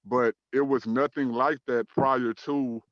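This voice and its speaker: A man in his thirties from Dallas, Texas, hosting an online talk show in a measured, earnest way, with frequent "um" and "uh" fillers. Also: mid-range voice, steady tone, no echo, muffled recording. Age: 30s. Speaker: host.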